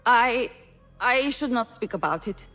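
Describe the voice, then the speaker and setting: An actress playing a wry, gossipy market trader in a video game, confiding and expressive. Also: female voice, on the high side, measured pace, soft background music. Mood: hesitant, confiding. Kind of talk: cinematic video game dialogue.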